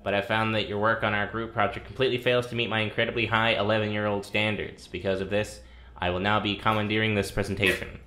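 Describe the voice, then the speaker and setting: An actor playing a sardonic, guarded young man in an audio drama, talking casually and haltingly with a teasing edge. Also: on the low side, flat delivery, bright, forceful tone. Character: sardonic, teasing, working-class young man, guarded but warm-hearted. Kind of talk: naturalistic audio drama dialogue.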